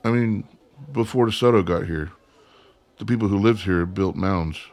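A man's voice: low voice, normal speed, a little mellow. A man acting in a radio play as a restless grown son, blunt and sardonic. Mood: wry, guarded.

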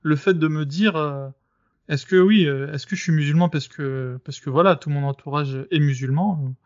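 A man on his own podcast talks through a debate in a loose, casual way. He hesitates a lot and restarts his sentences. His voice sits a little high and sounds dry and dull. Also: normal speed, a little mellow, no room sound. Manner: hesitant, many fillers and restarts. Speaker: podcast host.

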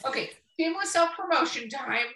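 A woman's voice: high voice, animated, brisk, sharp tone. A woman hosting a podcast in casual chat, with a blunt, teasing, sweary way of talking. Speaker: podcast host. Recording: roomy echo, distant mic, faint music.

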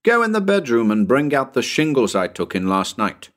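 A man's voice: mid-range voice, big pitch swings, normal speed, a bit bright, voice pushed up. A man voicing an easygoing, wry male companion in a storybook, with a casual, teasing manner. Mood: puzzled.

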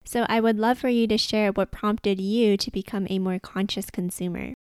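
This is a woman hosting a podcast and introducing her guest with real enthusiasm. Her voice is smooth and resonant, at a middle pitch for a woman. The sound is close and dry, with no room around it. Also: steady tone, normal speed, a little mellow, no echo. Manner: upbeat and enthusiastic. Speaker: podcast host. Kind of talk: podcast interview.

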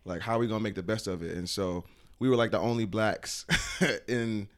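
Young man talking fast, low voice. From New York. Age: young adult. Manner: relaxed, laughs easily, casual slang. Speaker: guest. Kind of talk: casual interview.

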